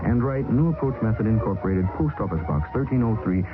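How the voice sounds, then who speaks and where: A man reading a public service announcement on the radio, brisk, friendly and instructive. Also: on the low side, lively intonation. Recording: tinny 1970s radio broadcast with music.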